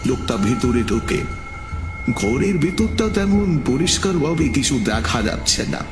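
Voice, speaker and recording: A man's voice: a little high, very animated, normal speed, soft background music. A man telling a scripted ghost story in the first person, in a suspenseful, dramatic storytelling manner.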